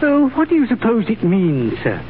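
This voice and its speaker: A jumpy, stammering valet in a 1930s radio play, his high voice leaping up and down as he fumbles for words. The sound is thin and tinny, like an old broadcast with a light hiss. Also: wildly swooping pitch, moderate pace. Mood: flustered. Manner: stammering, flustered. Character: timid, flustered male valet.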